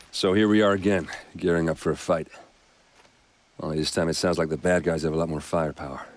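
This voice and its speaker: A man's voice: low-pitched, steady tone, a little fast, close to an everyday voice. A man playing a brash, loyal, joking soldier captain in a video game, blustery and teasing. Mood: wry, familiar. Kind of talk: video game cutscene acting.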